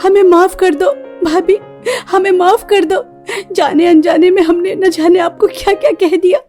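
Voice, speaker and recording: Woman plays devoted young cartoon bride-to-be, very high, emotional, pleading, music behind. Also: fairly even intonation, normal speed, darkish tone. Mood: remorseful, grateful. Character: grateful, devoted young bride-to-be sisters-in-law. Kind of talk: cartoon story dialogue.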